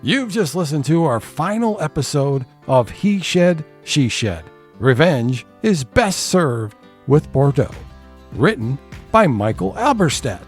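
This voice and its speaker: Animated male announcer over music. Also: on the high side, slow pace, breathy edge. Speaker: announcer, host. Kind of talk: scripted closing credits announcement. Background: light music.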